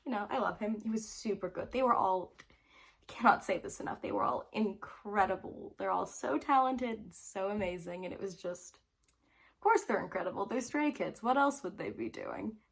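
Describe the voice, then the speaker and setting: A woman's voice: mid-range voice, lively intonation, normal speed, vocal fry, breathy edge. A teenage girl vlogger casually recounting a story to camera, bubbly and rambling, with frequent "like" fillers and giggles between phrases. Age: teens.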